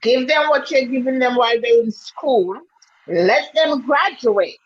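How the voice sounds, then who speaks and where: Friendly female caller, husky tone, dull low-fidelity call audio. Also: a little slow. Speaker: call-in guest. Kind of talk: casual group call.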